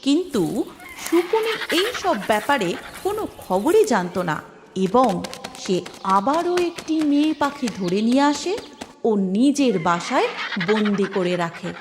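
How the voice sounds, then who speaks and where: A woman's voice: high-pitched, big pitch swings, a little slow, background noise. A woman narrating a scripted cartoon story as a storyteller.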